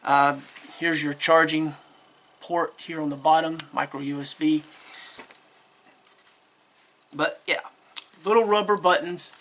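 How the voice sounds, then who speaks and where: A man with a fairly high-pitched voice reviews a product off the cuff. His intonation stays quite flat, and he rambles along hesitantly, correcting himself as he goes. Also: unhurried, slight background noise. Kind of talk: casual unscripted product review.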